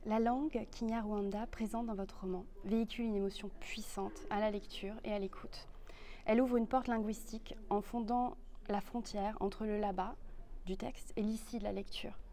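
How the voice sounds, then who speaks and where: A woman interviewer reading out her prepared questions in a measured, formal way. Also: mid-range voice, fairly even intonation, mellow, slight background noise.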